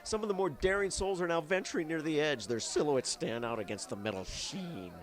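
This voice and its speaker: A man plays an on-the-spot news reporter in an old-time radio drama. His voice sits fairly high, and he stammers with urgency through formal announcer phrasing, flustered and excited by the scene. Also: brisk, natural voice. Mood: tense wonder.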